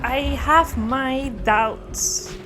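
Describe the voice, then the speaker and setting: Unhurried, higher-pitched woman reacting casually. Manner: halting, thinking aloud. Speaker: reaction video host. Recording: game music clearly behind voice.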